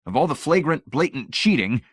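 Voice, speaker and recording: A man's voice: a little high, very animated, fairly quick, dry recording, clean studio sound, pitched above the performer's own voice. A man doing a blunt, irritated engineer. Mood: exasperated. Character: exasperated male electronics expert, blunt and skeptical. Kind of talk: audiobook character voice.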